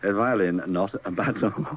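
A man's voice: on the low side, unhurried, noisy background. A man hosting a radio quiz in live banter, giggly and struggling to keep his composure, on an old radio recording.

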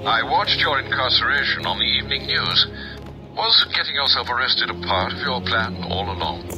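An actor playing a dutiful older butler in a video game, formal and dryly inquisitive. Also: male voice, medium pitch, animated, normal speed, over music, close to an everyday voice, low-quality recording. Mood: dry, attentive.

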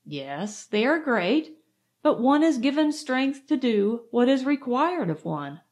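A woman's voice: high voice, very animated, slow, darkish tone, exaggerated voice. A woman reading aloud, voicing a proud, fussy mother who insists she is strong and in charge of her household. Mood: self-assured. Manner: insistent, self-assured.

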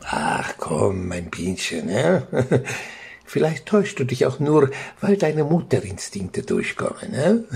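An actor voicing a jovial, doting, teasing family man with a creaky, husky voice in a children's radio play. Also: medium pitch, very animated, a little mellow, exaggerated voice. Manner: chuckling, exclaiming, drawn-out phrasing. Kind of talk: German children's audio drama acting.

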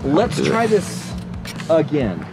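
A man talks casually to the viewer like an engineer hosting his own vlog. He is informal and a bit jokey, explaining as he goes. Music plays clearly behind his voice. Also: fairly high pitch, huge pitch swings, takes it slowly. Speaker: video host, broadcast engineer. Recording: background music over the voice.

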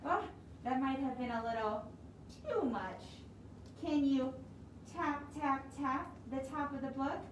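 A woman reads aloud as a friendly, excitable storybook bunny with a high voice. It chats politely to the reader, heard from a distance in a roomy space. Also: unhurried. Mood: polite, pleased. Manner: polite, chatty, addressing the reader directly. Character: friendly, excitable winter rabbit in a picture book. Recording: roomy, distant mic, clear background noise.

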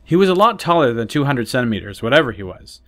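A woman voicing an easygoing, friendly teenage boy, pitching her voice down. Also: very animated, quick pace, dry recording.

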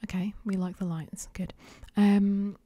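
A woman whispering softly for an ASMR clothing try-on, hesitant and unsure, with many pauses and ums. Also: medium pitch, little pitch movement, no room sound. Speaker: ASMR creator.

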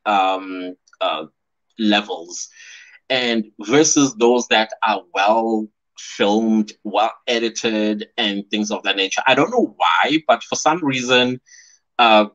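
South african guy on a webcam live stream, chatty, laughs a lot, kinda muffled lo-fi sound. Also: fairly low pitch. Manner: chatty and animated, laughs often, reads out viewer comments. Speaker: YouTuber hosting a live stream. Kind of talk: casual live-stream chat. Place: small indoor room at home. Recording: slightly dull lo-fi stream audio.

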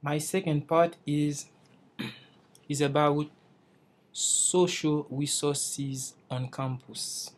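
A man's voice: a little high, fairly even intonation, drawn-out words, pauses often. A young man from Haiti, an English learner, giving a careful class presentation over slides and restarting phrases as he searches for words. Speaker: English language student presenting.

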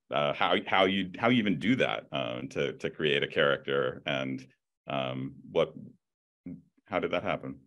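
Very deep-voiced man, halting moderator. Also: a bit bright. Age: middle-aged. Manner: halting, repeats words searchingly. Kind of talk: moderating a panel discussion. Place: indoor room, video call. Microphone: video call computer mic.

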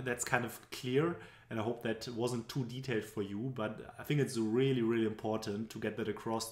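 A young man with a German accent talks through slides, explaining patiently and filling pauses with little hesitations. His voice sits on the low side. The room around him rings with a noticeable echo. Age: young adult. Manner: explanatory, loosely phrased with frequent fillers. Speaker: business presentation instructor. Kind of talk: screen-recorded tutorial narration. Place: indoor room at home. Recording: echoey room.

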